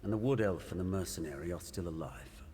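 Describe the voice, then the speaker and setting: Deep, grim actor as a male cultist. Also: brisk, dark tone, big-room sound, a little hiss or hum, close to an everyday voice. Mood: grave. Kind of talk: video game voice acting.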